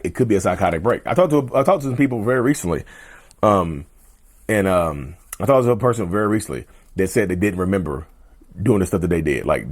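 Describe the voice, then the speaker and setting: A man hosting a casual mental health vlog, talking straight to viewers in a loose, repetitive way, his voice going a little hoarse. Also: low voice, darkish tone. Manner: conversational, repeats himself, often addresses viewers as "y'all". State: voice getting hoarse. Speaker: mental health video host. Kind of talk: casual direct-to-camera talk.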